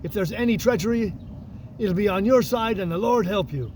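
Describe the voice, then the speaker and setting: A man's voice: high-pitched, expressive, moderate pace, darkish tone, a little hiss or hum, voice pushed up. Man acting out a stern, barking ship's captain. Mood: stern warning. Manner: barked orders, curt and formal. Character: stern, commanding ship's captain, suspicious and scornful. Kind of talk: audiobook character voice.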